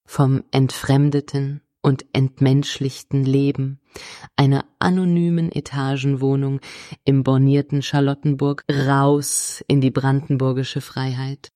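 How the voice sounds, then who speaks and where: A mellow, dark-toned woman played by an actress as an earnest psychologist and commune convert in a satirical radio play. Her delivery is very even and measured, like a confession, in a dry close recording. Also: extremely low pitch, no room sound. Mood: blissful, earnest. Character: earnest female psychologist, blissful commune convert, quietly worried. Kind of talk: mock-documentary radio play interview.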